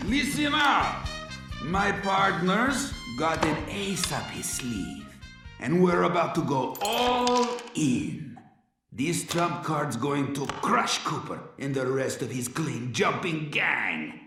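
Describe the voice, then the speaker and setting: A man voicing a hot-tempered, boastful outlaw boss in an animated game, with a theatrical American Western drawl. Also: on the high side, takes it slowly, full character voice. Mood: gloating menace. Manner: theatrical, folksy, swinging between menace and glee. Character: blustering Wild West outlaw crime boss, male, hot-tempered braggart. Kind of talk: animated video game villain acting. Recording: echoey, with loud music behind.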